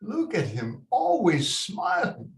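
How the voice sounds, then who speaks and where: A man playing a stern, slow-spoken loving father. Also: sits high, huge pitch swings, full character voice. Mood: grave, sorrowful. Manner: deliberate, paternal, slips Spanish words into English. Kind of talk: dramatic table read. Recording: roomy echoing video call.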